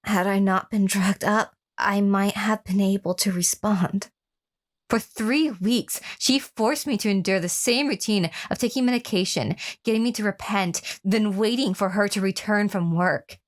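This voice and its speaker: A woman's voice: mid-range voice, a little slow, close to an everyday voice. Horror audio drama: actress as captive, wounded female victim of an obsessive lover, mid-range voice, telling her own story. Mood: somber, reflective. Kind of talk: first-person horror radio drama narration with acted dialogue.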